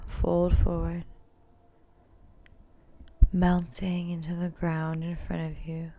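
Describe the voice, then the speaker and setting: A woman leads a yoga class in a soft-edged, mellow voice with noticeable vocal fry. Her delivery is calm and very even in pitch, each instruction gently cued. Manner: calm, soothing, gently cueing. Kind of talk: guided yoga and meditation instruction.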